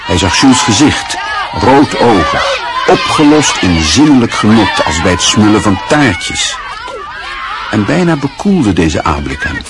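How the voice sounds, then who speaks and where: A man narrating a Dutch radio play in a measured, literary storytelling manner. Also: fairly low pitch, very animated, a loud crowd, heavy background noise.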